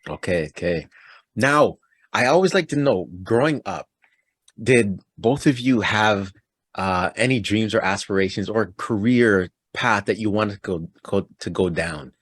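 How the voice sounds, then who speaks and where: A man hosts a relaxed interview, his pitch bouncing up and down as he chats and laughs along. His voice has a husky edge. It sits close and dry, with no room around it. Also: measured pace, dry recording. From Toronto, Canada. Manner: upbeat, chatty, laughs easily. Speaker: podcast host. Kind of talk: casual interview.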